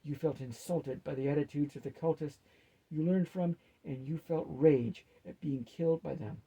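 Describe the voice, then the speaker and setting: A man's voice: a little high, steady tone, dark tone, slightly rough, low-quality recording. A man voicing a wise, loving old grandmother who speaks firmly and emphatically. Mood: stern. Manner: emphatic, firm, drawn-out delivery.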